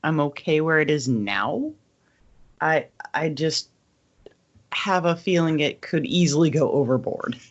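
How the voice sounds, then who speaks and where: A woman's voice: deep voice, animated, unhurried, muffled recording. A woman co-hosting a podcast, talking casually and plainly as a skeptical fan. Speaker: podcast co-host.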